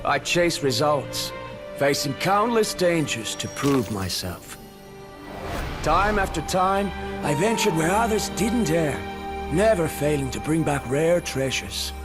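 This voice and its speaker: An actor playing a proud legendary adventurer and guide in an anime-style video game, telling a tale with theatrical self-assurance. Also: male voice, a little high, very animated, takes it slowly, music in the background. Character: proud, adventurous legendary male guide recounting his past.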